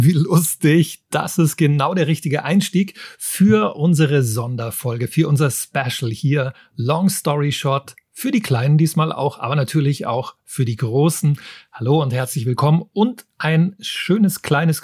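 A man's voice: on the high side, measured pace, darkish tone, no room sound, clean studio sound. A man co-hosting a book podcast, chatting casually and enthusiastically.

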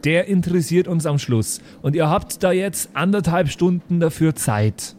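A man playing a fussy, sceptical maths teacher who speaks haltingly and deliberately in an improvised tabletop audio play. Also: on the high side, lively intonation, darkish tone, a little hiss or hum, pitched above the performer's own voice, close to an everyday voice. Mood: instructing.

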